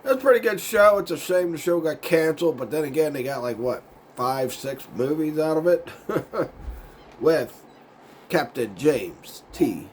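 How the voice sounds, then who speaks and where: A man hosting his own video show, with a voice gone raspy and hoarse from a sinus cold, chatting in a loose, meandering way, losing his words and chuckling now and then. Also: fairly high pitch, animated, unhurried, a little hiss or hum. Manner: laid-back and meandering, loses his words, chuckles often. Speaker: YouTube show host. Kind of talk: casual rambling vlog chat.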